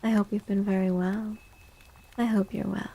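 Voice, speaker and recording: A soft-spoken woman live streaming ASMR chat on a close microphone, gently greeting viewers, often repeating phrases and slipping into breathy whispers. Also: medium pitch, level tone, moderate pace, poor audio.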